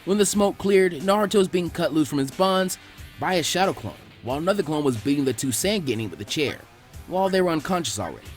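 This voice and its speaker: A man narrates a fan fiction story in a lively, varied delivery. His voice sits a little high, and faint music plays behind him. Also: quick pace. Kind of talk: animated scripted story reading.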